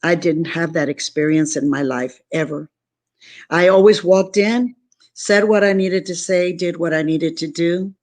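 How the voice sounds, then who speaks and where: A woman's voice: lowish voice, measured pace, a little mellow. A woman from San Antonio, Texas, whose first language was Spanish, speaking as an interview guest in an emphatic, earnest, repetitive way. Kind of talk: motivational interview talk.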